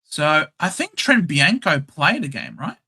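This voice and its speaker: A man's voice: a little high, wildly swooping pitch, measured pace, vocal fry, pushed and bright, husky. An Australian man hosting a casual livestream, chatting, thinking aloud and reading out viewers' names. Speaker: livestream host.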